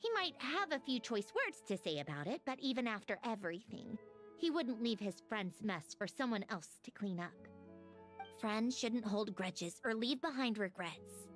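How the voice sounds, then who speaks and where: An actress playing a calm, confident, faintly smug female agent in a game cutscene. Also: on the high side, moderate pace. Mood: reflective. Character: cool, self-assured female Fatui agent. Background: game music.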